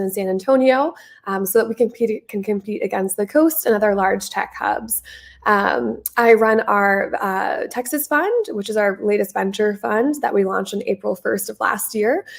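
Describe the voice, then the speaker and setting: A woman's voice: mid-range voice, vocal fry. A young adult woman hosting a live online Q&A, upbeat and friendly, a little stuffy from a head cold, talking over a video call. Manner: upbeat, friendly, with frequent ums. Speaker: host, venture principal.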